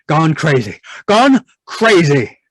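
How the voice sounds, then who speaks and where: A man's voice: on the high side, big pitch swings, slow, no echo, heavily acted, rough recording. A man playing an irritable, domineering middle-aged scientist husband in an old-time radio drama, contemptuous and blustering. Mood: agitated. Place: indoors, live video call performance.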